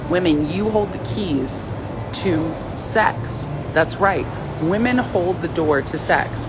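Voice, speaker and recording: A woman with a slightly low, raspy voice hosts a casual live stream and speaks bluntly and playfully to her viewers. A clear hum of background noise runs behind her. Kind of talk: casual live-stream chat reading comments.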